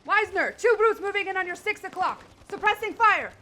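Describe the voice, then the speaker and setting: A woman's voice: extremely high pitch, lively intonation, brisk, bright, forceful tone, slight background noise, full character voice. A woman playing a tough, alert female marine sergeant in an audio drama, speaking in terse military phrases. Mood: urgent shouting. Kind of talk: fan audio drama acting.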